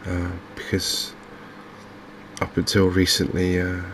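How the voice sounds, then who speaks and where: A man with an English accent giving softly spoken, unhurried ASMR game commentary, musing gently and hesitantly as he goes. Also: sits low, monotone, rough recording. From England. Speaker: gaming video host. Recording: faint background noise from open windows and fans.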